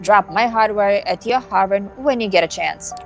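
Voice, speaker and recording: A woman's voice: medium pitch, moderate pace, faint music behind, close to an everyday voice. A woman playing a breezy, flirty, confident hacker who talks casually and familiarly in an amateur visual novel drama. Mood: breezy, teasing.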